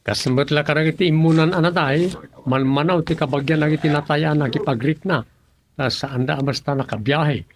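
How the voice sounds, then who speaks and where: A man's voice: a little high, steady tone, moderate pace, slight background noise, natural voice. A man playing a kindly, practical village councilman in a radio drama comedy, speaking in a coaxing way. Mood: matter-of-fact.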